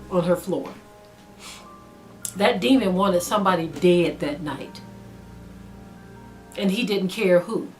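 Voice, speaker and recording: A woman's voice: mid-range voice, poor audio. A middle-aged woman preaching in an earnest sermon style, repeating phrases for emphasis.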